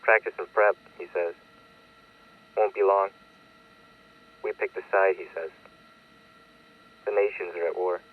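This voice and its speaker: A man's voice: low voice, fairly even intonation, measured pace, long pauses. An actor playing a frightened young man recording a war diary, heard through a radio transmission filter. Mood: bleak, fearful. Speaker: actor in an audio drama. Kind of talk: audio diary in a radio drama. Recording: tinny, band-limited radio transmission.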